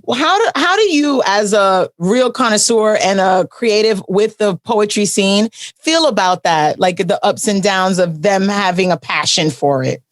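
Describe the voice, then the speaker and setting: A woman's voice: medium pitch, lively intonation, a little slow, no room sound, studio quality. A middle-aged woman hosting a Sunday morning radio community show, upbeat and chatty with a faith-filled tone. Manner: upbeat, chatty, churchy warm-up talk. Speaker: radio host. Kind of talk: radio interview. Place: radio studio.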